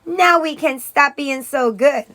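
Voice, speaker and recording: High-pitched, scheming little sister, voiced by a woman. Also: measured pace, fairly bright tone. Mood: plaintive. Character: eager, mischievous, put-upon little sister, young girl.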